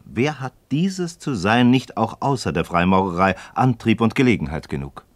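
A man's voice: on the low side, sing-song, moderate pace, no room sound. An actor playing an inquisitive, skeptical man in a radio play, speaking articulate, rhetorical in philosophical dialogue. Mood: skeptical, challenging.

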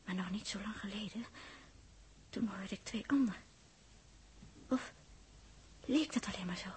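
A fretful young girl on a spaceship, speaking slowly in a high voice with a Netherlands Dutch accent. She is tender and emotive, halting and muttering to herself in the manner of a 1960s radio play. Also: a bit bright.

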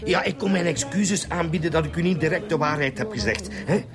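An actor plays an obsequious Flemish hotel owner in a radio play. His voice sits fairly high and his manner is discreet and ingratiating, with music clearly audible behind. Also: a little fast, natural voice. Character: obsequious, discreet male proprietor of seedy rendezvous hotel. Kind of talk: radio drama acting.

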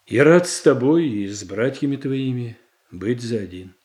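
An actor playing a self-controlled, diplomatic boyar in a classical radio tragedy, formal and restrained. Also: male voice, mid-range voice, animated, moderate pace, darkish tone, reverberant, lightly acted. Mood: conciliatory. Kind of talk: classical Russian stage tragedy acting for radio.

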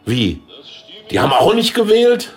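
A man's voice: high voice, wildly swooping pitch, unhurried, soft background music, full character voice. An actor playing a naively eager businessman in his sixties who turns politician, colloquial, in a radio play. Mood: excited. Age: 60s.

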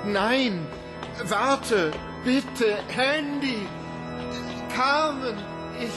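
Ein Schauspieler spielt in einem Hörspiel einen schüchternen, verwirrten Mordverdächtigen, der stammelt und fleht. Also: male voice, very high-pitched, drawn-out words, over music, heavily acted. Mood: pleading. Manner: stammering and pleading. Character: timid, bewildered man suspected of murder. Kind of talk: radio play acting.

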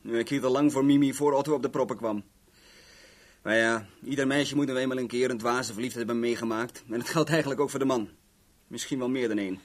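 An actor playing a cocky, talkative young garage owner in a Dutch radio play, rambling and self-assured. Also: male voice, medium pitch, fairly even intonation, fast talker, close to an everyday voice. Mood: nonchalant.

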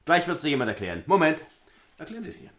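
An actor playing an exasperated, coarse, sarcastic middle-aged husband in a theatrical audio drama, blunt and sardonic in manner. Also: male voice, a little high, very animated, very fast, fairly bright tone.

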